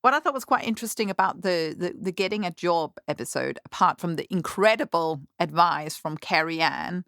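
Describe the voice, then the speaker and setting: Low gravelly female co-host, thoughtful. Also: fairly quick, hardly any pauses, a bit bright, dry recording, studio quality. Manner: thoughtful, explanatory. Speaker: podcast co-host. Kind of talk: casual studio chat.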